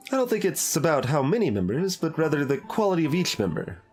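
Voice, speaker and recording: A man's voice: fairly high pitch, very animated, fast talker, darkish tone. A man voicing an earnest, upbeat teenage girl who leads a school club in a visual novel, speaking sincerely over music. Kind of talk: voiced visual novel reading. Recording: music playing behind the voice.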